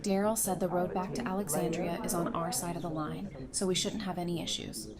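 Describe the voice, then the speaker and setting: A woman's voice: lowish voice, fairly even intonation, a little fast, over a noisy crowd, natural voice. A woman playing a grieving, devoted partner and mother in an audio drama, on a noisy recording. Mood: determined. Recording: noisy home recording, background hiss.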